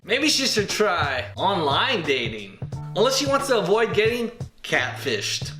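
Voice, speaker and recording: A man's voice: high voice, very animated, measured pace, bright, forceful tone, roomy sound, soft background music. A man hosting a scripted comedic movie review in a playful, punning, showman-like way.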